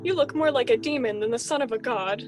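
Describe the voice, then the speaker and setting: A woman's voice: a little high, quick pace, natural voice. A woman playing a fretful, exasperated sun-god father in an amateur student radio drama. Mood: dismayed. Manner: exasperated, theatrical parental fussing. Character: fretful sun-god father scolding his teenage son. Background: music.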